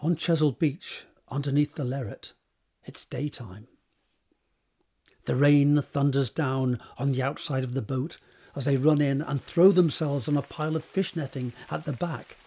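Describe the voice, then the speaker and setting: A man's voice: mid-range voice, unhurried, darkish tone, husky, faint music behind. A man narrating scene directions for a period romance audio drama in a scripted present-tense style. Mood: descriptive.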